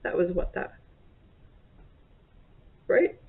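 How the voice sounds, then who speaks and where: A woman in her thirties chatting casually at home about her month's reading, rambling and correcting herself. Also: extremely slow. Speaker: book vlogger. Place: bedroom or home office, indoors. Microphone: webcam at desk. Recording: tinny phone-like sound.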